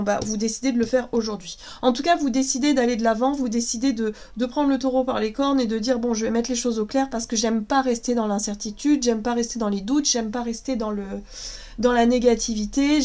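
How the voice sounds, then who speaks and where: A woman's voice: fairly high pitch, warm tone. Woman with a cold reading tarot. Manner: hesitant, many fillers and restarts. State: has a cold, sniffly. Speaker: tarot card reader. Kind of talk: casual tarot reading addressed to viewers.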